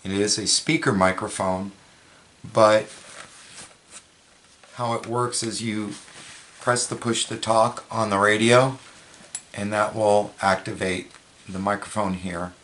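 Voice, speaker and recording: A man's voice: lowish voice, steady tone, slow pace, long pauses, a bit bright, slight background noise. A man giving a plain, matter-of-fact, step-by-step hands-on product demonstration. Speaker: product reviewer, presenter.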